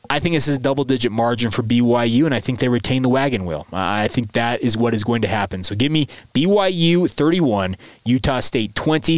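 A man's voice: medium pitch, no echo. American man hosting a sports podcast, dry sound. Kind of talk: conversational solo sports commentary.

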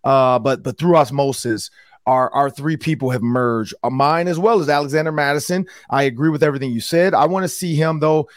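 A man hosting a sports podcast, talking in a loose, upbeat way with a hoarse voice. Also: medium pitch, a little fast, no room sound. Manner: upbeat, loose, repeats words. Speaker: podcast host. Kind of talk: casual sports talk.

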